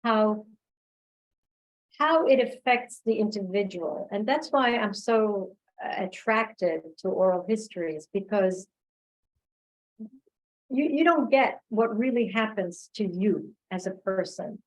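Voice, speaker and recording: A woman giving thoughtful, reflective answers as a guest speaker in an online discussion, pausing as she finds her words. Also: medium pitch, poor audio. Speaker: guest speaker, filmmaker and oral history researcher. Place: remote online video call.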